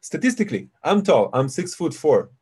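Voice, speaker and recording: A man with a deep voice hosting a live webinar, chatting and explaining to his online audience in a casual, teacherly way. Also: very animated, normal speed, a little mellow, husky. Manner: chatty, explanatory, addresses audience as "you guys". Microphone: computer mic on a video call.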